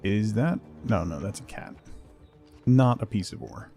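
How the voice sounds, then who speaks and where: Animated, mellow-voiced man streaming a game. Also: fairly low pitch, unhurried, faint music behind. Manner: musing to himself, thinking aloud. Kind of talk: casual solo gameplay commentary.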